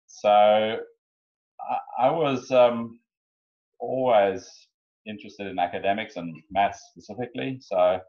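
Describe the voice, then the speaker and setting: Low-voiced South African man, dull audio. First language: Afrikaans. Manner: hesitant, many ums and restarts. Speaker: guest. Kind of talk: relaxed interview storytelling.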